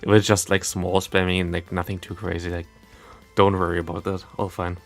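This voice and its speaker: A man's voice: deep voice, level tone, brisk. A man from Germany with a German accent, casually commentating a game and chatting as a livestreamer in a rambling, self-deprecating way. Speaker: livestreamer and content creator. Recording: music playing behind the voice. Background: background game music.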